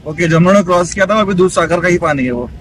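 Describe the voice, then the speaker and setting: A man narrating a casual travel vlog outdoors and from a jeep, chatty and excited, joking with his companions. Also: high-pitched, rapid-fire, slight background noise. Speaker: travel vlogger. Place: outdoors and in a jeep on a bumpy road.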